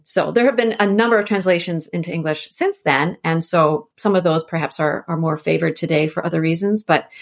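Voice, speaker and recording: Female expert guest, lowish voice, informal and explanatory, loosely strung conversational sentences. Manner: explanatory, informal, meandering phrasing. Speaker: scholar and author, podcast guest.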